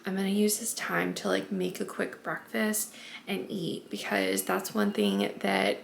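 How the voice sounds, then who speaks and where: A young woman vlogging casually at home as a stay-at-home mom, chatty and often hushed, congested and a bit raspy from allergies. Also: medium pitch, fairly even intonation, unhurried, slight background noise. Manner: chatty, lots of "like" and "um", often hushed to not wake the baby. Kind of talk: casual vlog talk to camera.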